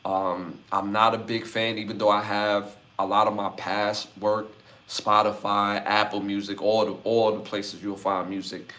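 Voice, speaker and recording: A man in his forties hosting a podcast, closing a livestream with relaxed chat and shout-outs to viewers by name. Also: lowish voice, measured pace. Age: 40s. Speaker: podcast host. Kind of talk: casual livestream sign-off chat.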